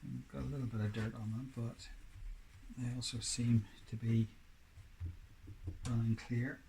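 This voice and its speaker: A man talks slowly through a hands-on repair job in a mid-pitched voice. He is casual and halting, often muttering to himself between steps. Clear background noise and clicks sit behind him. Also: little pitch movement, warm tone. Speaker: DIY repair presenter. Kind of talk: casual hands-on workshop narration. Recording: clearly noisy, with clicks and tool handling.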